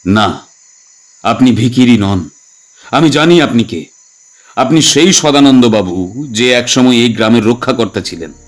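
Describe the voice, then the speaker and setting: A man's voice: medium pitch, lively intonation, a little slow, pauses often, natural voice. A man playing a desperate, determined young village father in a horror audio story, speaking earnestly. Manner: earnest, pleading.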